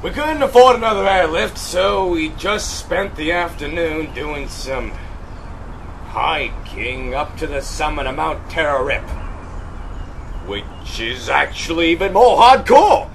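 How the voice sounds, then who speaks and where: Man acting a brash, high-pitched extreme sports host. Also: lively intonation, slow pace, gravelly, a little hiss or hum, heavily acted. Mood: cocky. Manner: brash, hotheaded, slangy. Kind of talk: comedic audio play acting.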